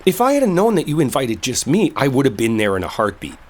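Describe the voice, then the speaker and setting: A man's voice: medium pitch, huge pitch swings, a little fast, darkish tone. A man playing an exasperated, defensive coworker who is secretly smitten, in an emotional roleplay audio drama. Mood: confessing, pleading. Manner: emotional, pleading, emphatic, conversational. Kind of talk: roleplay audio drama, intimate one-sided dialogue.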